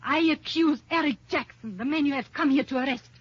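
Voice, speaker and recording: A woman's voice: high voice, brisk, lightly acted. A woman playing a bitter, accusing office employee in an old radio detective drama, speaking in stilted, formal phrases. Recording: dull, muffled old radio recording.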